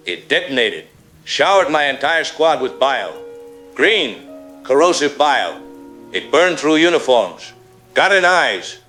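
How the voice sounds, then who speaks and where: A man's voice: medium pitch, fairly bright tone, natural voice. Shaken veteran colonel, played by a man, mid-range voice, grave and haunted, radio drama over faint music. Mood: grim, haunted. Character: shaken veteran Marine colonel reporting from the field. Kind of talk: apocalyptic radio drama acting.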